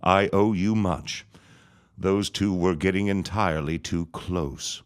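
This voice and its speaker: An actor playing a scheming, greedy reverend who gloats and cackles in an audio drama. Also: male voice, sits low, takes it slowly. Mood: menacing.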